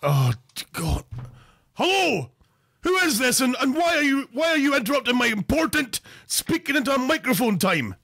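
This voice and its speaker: A man's voice: very high-pitched, normal speed, gravelly, dry recording, clean studio sound. A Scottish man hosting a crude comic cooking show, narrating recipes with jokes. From Scotland. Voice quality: crude jokey, animated delivery. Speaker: comedy cooking show host.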